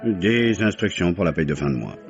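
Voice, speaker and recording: A man's voice: low voice, sing-song, fairly quick. An actor playing a polite, evasive businessman under questioning in a vintage French radio mystery, flustered and deferential. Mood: hesitant, recounting. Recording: dull old radio recording, music underneath.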